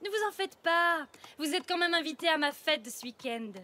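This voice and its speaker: Snooty, very high schoolgirl filly. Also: expressive, normal speed, full character voice. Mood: smug, scornful. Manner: haughty, mocking. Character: snobbish, boastful, mean rich schoolgirl filly. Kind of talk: animated cartoon dub. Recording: loud music behind the voice.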